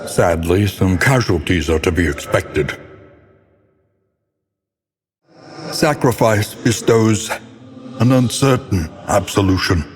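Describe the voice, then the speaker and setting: A very low, slow male voice rings out with long reverb. He is a brooding narrator from a gothic horror video game, solemn and theatrical, placing each ornate phrase with grave deliberation. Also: darkish tone. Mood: grim, mournful. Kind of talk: scripted dramatic game narration in gothic prose.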